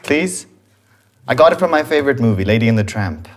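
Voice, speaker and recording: An eager, goofy young suitor played by a man in a TV sitcom. His mid-pitched voice swings around a lot as he talks in slangy, pleading bursts, over faint noise. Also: expressive, a little fast, natural voice. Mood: eager coaxing. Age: young adult. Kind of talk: TV sitcom acting.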